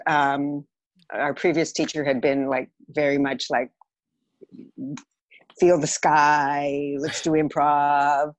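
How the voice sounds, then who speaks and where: Woman, sixties, low voice, close dry sound, candid storyteller, self-deprecating, casual interview guest. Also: no room sound. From Los Angeles area, United States. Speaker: podcast guest, theatre director.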